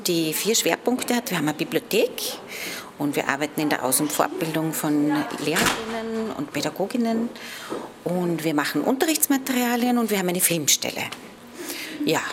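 A woman's voice: sits low, expressive, moderate pace, background noise. A woman explaining her organization's work in an interview at a busy conference fair. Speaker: exhibitor at education fair. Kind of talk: interview answers. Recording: busy crowd chatter behind.

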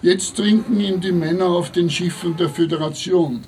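A man playing an eccentric old seaman in an audio drama, lecturing in a halting, ceremonious, rambling way. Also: high-pitched, fairly even intonation, darkish tone, a little hiss or hum, close to an everyday voice, poor audio. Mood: solemn, instructive. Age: older.

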